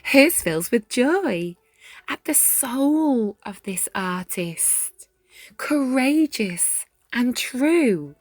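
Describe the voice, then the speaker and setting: A woman reading a rhyming children's story aloud in an expressive, measured way, with dramatic pauses and hushed moments. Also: a little high, no room sound. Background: background music.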